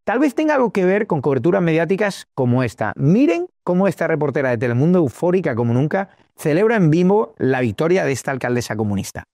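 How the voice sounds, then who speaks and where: A man's voice: fairly high pitch, sing-song, fast, hardly any pauses, darkish tone, no room sound, studio quality. A man hosting a political news show, giving opinionated commentary in a combative, scornful manner.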